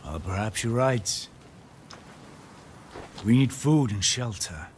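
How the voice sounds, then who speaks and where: A man playing a steady, wry, protective older companion in a video game, speaking in a calm, measured way. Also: on the low side, a little hiss or hum. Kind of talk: dramatic game acting.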